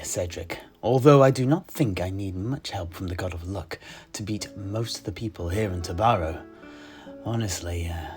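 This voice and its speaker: A man playing a tavern keeper priest in a fantasy tale, recounting his adventures with deliberate, theatrical phrasing and hushed emphases. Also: lowish voice, natural voice. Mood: wry, knowing. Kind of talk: in-character fantasy storytelling. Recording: music behind the voice. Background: plucked guitar music.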